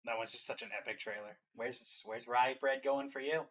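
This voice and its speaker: A man's voice: mid-range voice, steady tone, moderate pace, a bit bright. A man hosting a reaction video in a casual, chatty style.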